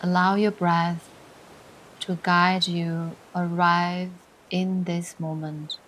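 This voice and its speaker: A woman leading a scripted guided meditation in a soothing, deliberate way, pausing between short phrases. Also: fairly low pitch, level tone, a bit bright, a little hiss or hum, rough recording. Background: music.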